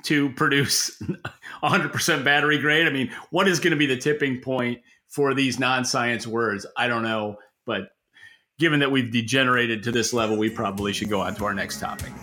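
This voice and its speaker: A man's voice: mid-range voice, steady tone, normal speed, pushed and bright. A man co-hosting a podcast, giving casual market commentary in a blunt, wry way. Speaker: podcast co-host.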